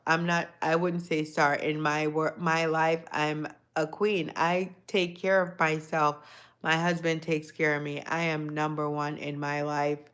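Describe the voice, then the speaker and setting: Low-voiced woman chatting on a livestream. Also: fairly bright tone, clean tone. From Jamaican descent, lives in the US. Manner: reflective, talks to chat viewers by name, repeats phrases. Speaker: livestream host. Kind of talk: casual live chat with viewers.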